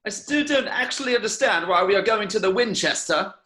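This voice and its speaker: A woman's voice: medium pitch, animated, brisk, fairly bright tone, lightly acted. A performer reading a sullen, pedantic young man in an amateur table read. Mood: sceptical.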